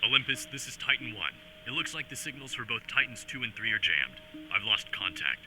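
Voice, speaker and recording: A man's voice: medium pitch, lively intonation, fairly quick, loud music behind the voice, close to an everyday voice, rough recording. A man playing a determined soldier reporting from the field in terse, dramatic scripted lines. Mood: focused, wary. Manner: terse radio-report delivery. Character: determined male soldier leading a field squad.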